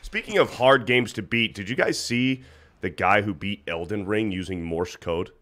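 Laid-back low-voiced man. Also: moderate pace, fairly bright tone. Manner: laid-back, a bit worn out. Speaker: panelist. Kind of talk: casual livestream chat.

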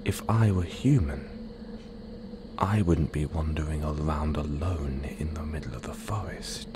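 A man playing a playful, menacing, possessive werewolf in an audio roleplay, teasing the listener in a breathy, half-whispered, predatory way. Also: a bass voice, a little slow, background noise. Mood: mock-apologetic, menacing.

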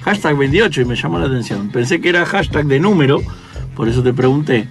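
A man's voice: medium pitch, expressive, fast talker, faint music behind. A man with an Argentine accent chatting casually as a panelist on a radio show. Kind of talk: casual chat.

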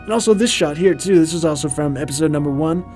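A man's voice: on the high side, fast talker, natural voice. Man voicing a pompous ghost host. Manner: pompous, hammy, grows loud and ranting. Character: vain festive male ghost host, boastful. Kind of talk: comedic commentary track. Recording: music playing underneath.

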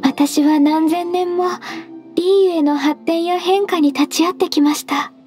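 Casting: gentle immortal half-beast secretary, anime game, extremely high sweet register, polite, unassuming, soft-spoken. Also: female voice, steady tone, measured pace. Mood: wistful. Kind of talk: Japanese anime game voice acting. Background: background music.

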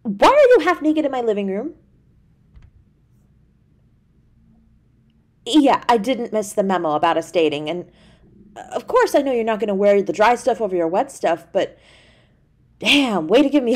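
A woman's voice: a little high, big pitch swings. A woman playing a tender, easily flustered young girlfriend in an intimate audio roleplay, speaking in a hesitant, stammering way. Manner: hesitant, stammering, halting delivery.

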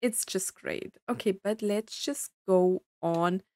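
A woman's voice: medium pitch, slow pace, mellow, no echo, studio quality. A young woman giving casual, enthusiastic reaction commentary over game trailers. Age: young adult. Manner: enthusiastic, filler interjections like mm-hmm. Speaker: reaction video host. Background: game trailer music and audio.

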